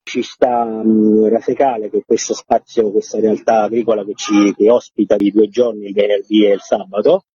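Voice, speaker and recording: Dark, low-voiced man, muffled sound. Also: husky, no echo. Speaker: interviewed organizer of a farmers' gathering. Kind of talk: informal radio interview answers, explanatory. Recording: dull, muffled lo-fi radio sound.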